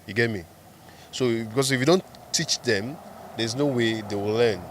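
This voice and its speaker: A man's voice: medium pitch, moderate pace, noisy background. A man from Nigeria speaking directly and persuasively in a street interview. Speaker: entrepreneur.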